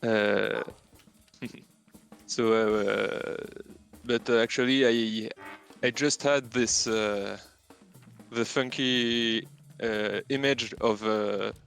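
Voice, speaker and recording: A man with a French accent, a native French speaker, answering casual interview questions haltingly as he searches for words. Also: lowish voice, steady tone, vocal fry, a bit bright, soft background music. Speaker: interview guest, game programmer.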